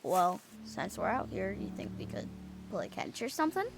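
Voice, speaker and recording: A woman as a lively, childlike young boy. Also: medium pitch, normal speed, creaky, over music. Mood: tentative. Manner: childlike, plaintive. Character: young boy, sulky but eager son. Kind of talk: audio drama acting.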